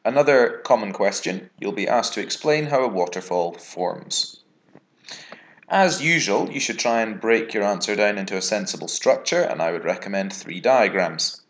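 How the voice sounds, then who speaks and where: A man with a British accent, a geography teacher narrating an exam revision lesson over diagrams in a measured, instructive way. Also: fairly low pitch. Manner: measured, instructive, lively intonation. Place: voice-over recorded over slides.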